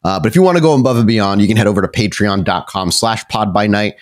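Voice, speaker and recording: A man's voice: fairly low pitch, fast. A man working as a game master, narrating a tabletop roleplay podcast with dramatic, cinematic scene-setting.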